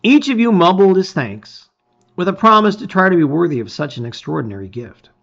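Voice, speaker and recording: A man's voice: high-pitched, very animated, a little fast, vocal fry, fairly bright tone, lo-fi sound. A man reading a gamebook aloud as a dramatic story, with theatrical, emphatic phrasing.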